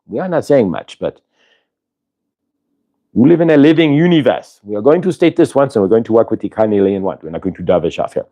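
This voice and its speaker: A man voicing a benevolent otherworldly spirit collective in an affected, vaguely Indian-sounding accent, with drawn-out emphatic words, as in a live channeled healing session. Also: fairly low pitch, very animated, mellow, dull audio. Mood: insistent, wondering. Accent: affected, vaguely Indian-sounding put-on accent.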